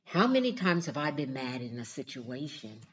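A woman's voice: rumbling low, moderate pace, muffled recording, a little hiss or hum. An older woman telling a personal story in a casual, chatty self-help vlog, often laughing as she talks. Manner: chatty, laughs easily, animated retelling.

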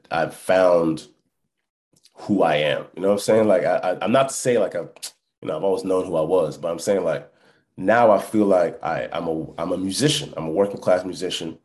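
A deep-voiced man you'd hear as the musician guest on a relaxed podcast, feeling his way through an answer and checking you follow. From born in France, lived in Canada and Texas, Congolese family. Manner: reflective, halting, often checks the listener follows. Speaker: hip hop musician, interview guest. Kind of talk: casual podcast interview.

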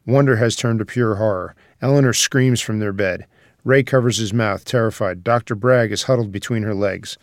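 A man's voice: fairly low pitch, normal speed, no echo, studio quality. A man reading stage directions as scripted narration for a screenplay table read.

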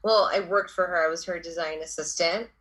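A young woman from Los Angeles chats as an interview guest, her voice bright yet sitting a little low. She talks loosely, stringing thoughts together with constant fillers. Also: fairly even intonation, brisk. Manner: filler-heavy, lots of "like" and "um". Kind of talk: casual interview chat.

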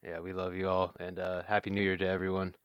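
A man's voice: low voice, completely flat delivery, fast, smooth, no echo, highs cut off. A man co-hosting a casual podcast, talking loosely and hesitantly with plenty of fillers. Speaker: podcast co-host.

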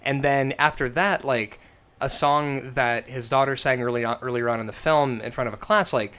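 Man with a smoke-roughened voice. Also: medium pitch, moderate pace. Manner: animated, swearing, acts out quotes. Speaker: podcast co-host. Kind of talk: casual film podcast chat.

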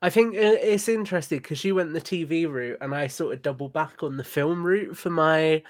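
Male podcast guest, lightish higher pitch, dry recording, keen conversational tone. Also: moderate pace, dry recording. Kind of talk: casual enthusiastic chat.

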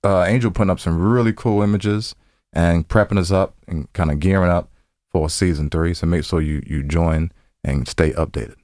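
A man's voice: sits low, fairly even intonation, normal speed, a little mellow, no echo, studio quality. A man co-hosting a podcast in casual, slangy banter, often repeating himself. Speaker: podcast co-host.

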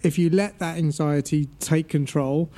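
Thoughtful man with a mellow tone. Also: fairly high pitch, steady tone, normal speed. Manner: thoughtful, offering views. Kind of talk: casual conversation.

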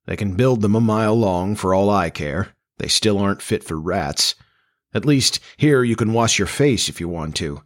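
Man playing a low, gruff farmer father. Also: animated, normal speed, lightly acted. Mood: sour, curt. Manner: curt, grumbling, folksy. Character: gruff, sour middle-aged farmer father, tense and irritable.

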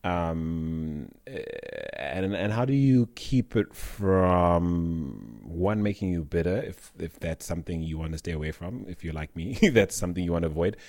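Man, interviewer, very deep voice, probing questions, dry sound. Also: lively intonation, unhurried, a little mellow, no room sound.

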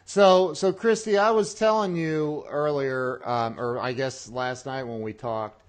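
A man hosting an interview show, talking in an excitable, casual way with lots of restarts and laughs. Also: medium pitch, unhurried. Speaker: podcast host.

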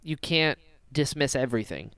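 A man's voice: medium pitch, animated, normal speed, a bit bright, clean tone, dry recording, studio quality. A man hosting a casual fan discussion podcast, talking animatedly and often slipping into mock quotes of imagined dialogue. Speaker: podcast host.

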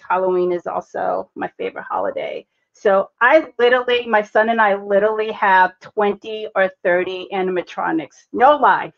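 A woman's voice: medium pitch, gravelly. A woman from Boston with a Boston accent, hosting a casual livestream chat. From Boston, United States. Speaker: host. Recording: slightly dull, lo-fi audio.

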